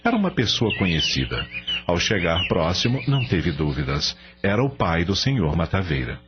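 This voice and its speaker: A man's voice: low-pitched, very animated, normal speed. A man with a Brazilian accent narrating a scripted radio drama in a storytelling style. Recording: dull lo-fi radio, clearly noisy.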